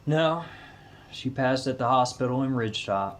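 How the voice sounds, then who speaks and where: A male actor plays a weary country widower in an audio drama. He talks in a folksy, plainspoken way, in a mid-pitched male voice. He sounds like a homeowner worn down by a haunting. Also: expressive, moderate pace, close to an everyday voice. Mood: subdued. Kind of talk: audio drama character dialogue.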